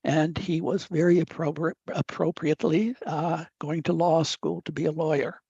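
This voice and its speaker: A man's voice: a little high, flat delivery, vocal fry, mellow, breathy edge, dry recording. Older man, Italian native, Midwest-raised; reflective storyteller with frequent fillers; dull, dry recording. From upper Midwest United States. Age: 80s. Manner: measured, deliberate, with frequent uh fillers. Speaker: guest speaker.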